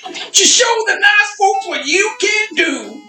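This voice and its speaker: A hokey singing cowboy for a comic radio play. The voice leaps way up high, bright and drawling, full of showy folksy cheer, in a distant, echoey recording. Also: male voice, lively intonation, takes it slowly, faint music behind, full character voice. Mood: showy, cheery.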